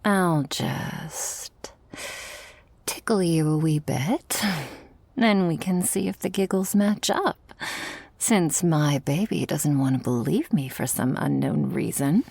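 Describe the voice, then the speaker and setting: Shark girl mistress played by a woman for ASMR roleplay: low-ish, breathy, tender, protective, commanding. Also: darkish tone, dry recording, heavily acted. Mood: playful, teasing. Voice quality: breathy, often dropping to a whisper. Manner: slow, teasing, tender and commanding. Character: affectionate, protective, dominant shark girl mistress with a troubled past. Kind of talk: intimate ASMR roleplay to the listener.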